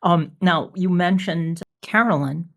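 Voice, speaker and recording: A man's voice: high-pitched, slow, vocal fry, a bit bright. A man hosting a podcast interview in a casual, enthusiastic fan's manner. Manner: enthusiastic fan, effusive. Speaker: podcast host.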